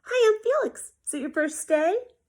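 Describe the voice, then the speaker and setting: A woman's voice: very high voice, lively intonation, normal speed, darkish tone, voice pushed up. A woman voicing an emphatic, childlike young boy in a children's storybook. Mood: eager. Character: young boy, grumpy then eager, kind-hearted. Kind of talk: children's storybook character reading.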